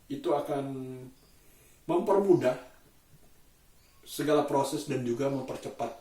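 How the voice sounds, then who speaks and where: A man from Indonesia, chatting casually to camera as a solo vlogger in a halting, deliberate way with drawn-out pauses. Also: mid-range voice, warm tone. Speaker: daily vlogger and host. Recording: roomy echo, distant microphone.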